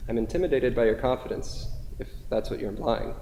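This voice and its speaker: A man's voice: mid-range voice, a little fast, warm tone, close to an everyday voice. A man reading the part of an insecure, needy lover in a staged play, earnest, apologetic and wordy. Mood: pleading, frustrated. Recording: echoey theatre hall, faint noise.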